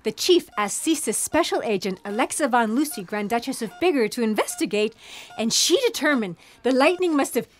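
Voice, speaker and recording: A woman's voice: on the high side, normal speed, faint music behind, natural voice. A woman playing an eager, caring yet skeptical scientist in a sci-fi audio drama. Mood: explaining. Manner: expressive, eager.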